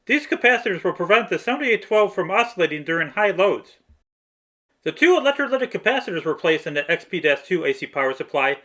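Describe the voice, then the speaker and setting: A man's voice: high voice, a bit bright. A man reading a scripted, step-by-step technical tutorial narration.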